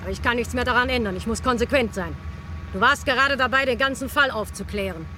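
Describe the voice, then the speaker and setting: An actress playing a cold, resolute killer in a German radio crime drama, controlled and commanding. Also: female voice, a little high, quick pace, sharp tone, a little hiss or hum. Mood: coldly resigned. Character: cold, resolute female killer holding a revolver.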